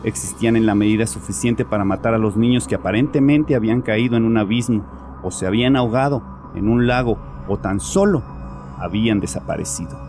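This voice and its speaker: An actor playing a tormented, guilt-ridden father in a horror audio drama, confessing to a psychiatrist in an agitated, defensive, halting way. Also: fairly low pitch, a little mellow, natural voice. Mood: brooding, uneasy. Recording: music behind the voice.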